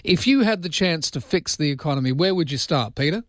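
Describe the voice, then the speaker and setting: A man's voice: on the high side, animated, brisk, dry recording, studio quality. A man hosting early-morning talkback radio, chatty and self-deprecating, with rambling asides. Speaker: radio host.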